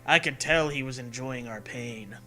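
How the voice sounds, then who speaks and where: A man's voice: medium pitch, normal speed, pushed and bright, faint music behind. A man reading a scary story as a narrator, with dramatic, earnest delivery. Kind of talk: dramatic scripted narration of a creepypasta.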